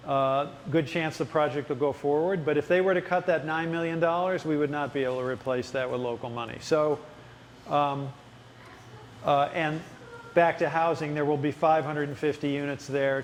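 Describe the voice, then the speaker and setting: A man with a somewhat high voice explains things at a town hall, pausing often for ums and restarting his sentences. His voice carries a long hall echo under a faint hiss. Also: steady tone. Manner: explanatory, halting with many fillers and restarts. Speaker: city official at a ward town hall. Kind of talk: public town hall answers. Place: town hall meeting room.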